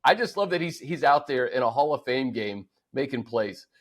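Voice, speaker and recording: A man's voice: medium pitch, expressive, moderate pace. An American man hosting a sports show in a casual, conversational style. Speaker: sports podcast host.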